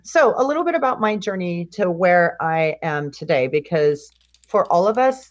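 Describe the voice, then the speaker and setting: A middle-aged woman from California, a teacher and guest speaker, explaining her career in a friendly, measured way over a video call. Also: on the low side, no room sound, dull audio. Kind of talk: live online interview presentation.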